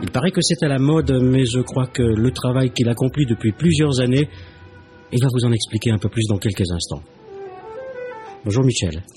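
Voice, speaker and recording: A man hosting a live radio interview, talking in a relaxed, conversational way. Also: medium pitch, moderate pace, music in the background. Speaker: radio host.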